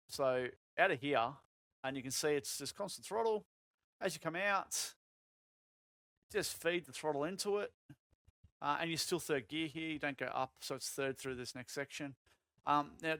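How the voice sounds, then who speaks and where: A man, voice going croaky, coaching a sim racing lap in casual talk with lots of fillers. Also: medium pitch, dry recording. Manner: relaxed, filler-heavy explaining with many "um"s. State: voice getting croaky.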